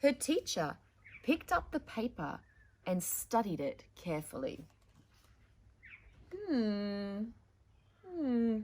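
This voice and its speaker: Animated woman reading a children's storybook. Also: a little high. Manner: theatrical, dramatic emphasis, addressing young listeners. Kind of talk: animated picture-book reading with chatty asides.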